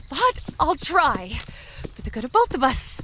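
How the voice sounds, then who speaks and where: A woman's voice: high voice, very animated, takes it slowly, pushed and bright, slight background noise, heavily acted. A woman playing a chatty, panicky, friendly young zombie who rambles and quips to the listener in a comedy audio drama. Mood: anxious, self-calming. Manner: rambling, quippy, self-interrupting.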